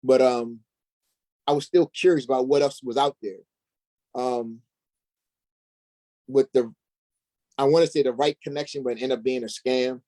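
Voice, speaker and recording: A man's voice: medium pitch, fairly even intonation, normal speed, long pauses, warm tone. A middle-aged man being interviewed as an actor, telling personal stories in an informal, slangy way. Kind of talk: casual personal storytelling.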